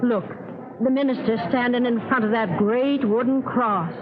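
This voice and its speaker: A woman's voice: on the high side, normal speed, lightly acted. Actress as homespun farm mother, tinny old radio. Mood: sympathetic. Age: middle-aged. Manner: homespun, earnest. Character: resolute, devout farm wife and mother. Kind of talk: 1940s American radio drama acting. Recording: scratchy, tinny radio with crowd murmur.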